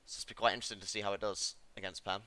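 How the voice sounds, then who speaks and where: A man's voice: on the low side, little pitch movement, rapid-fire, sharp tone, a little hiss or hum. A man running a live card game stream, chatting casually and laughing easily as he commentates. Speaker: stream commentator and tournament organiser.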